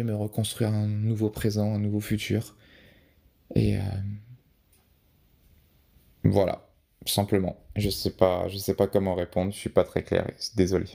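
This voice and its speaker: A young man with a low, gravelly voice and a mellow, darker tone. He answers interview questions casually, often trailing off and restarting his sentences before finding his point. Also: monotone, a little slow, long pauses. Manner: hesitant, many restarts and fillers. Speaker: podcast guest, photographer.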